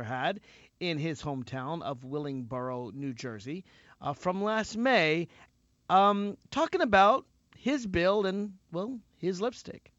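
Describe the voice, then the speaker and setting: Man hosting talk radio, wry and rambling, a little high, dry and dull sound. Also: fairly bright tone, no echo. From Tennessee. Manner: wry, rambling, self-deprecating. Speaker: radio host. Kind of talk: casual talk radio commentary.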